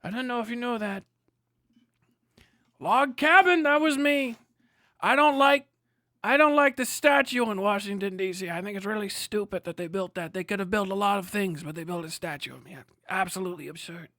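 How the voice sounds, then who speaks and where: A man plays a folksy ghost of a long-dead president, high and bright in voice. He rambles mildly and easily in old-fashioned storyteller phrases, like a hipster from another century. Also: normal speed, no room sound, studio quality. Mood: wry, indignant.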